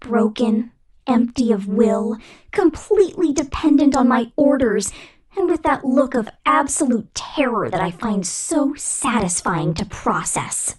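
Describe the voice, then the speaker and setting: A woman playing a menacing, possessive robot boss in an ASMR roleplay, commanding and pompous in a deliberate corporate manner. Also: on the high side, expressive, breathy edge, rough recording. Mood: coldly gloating.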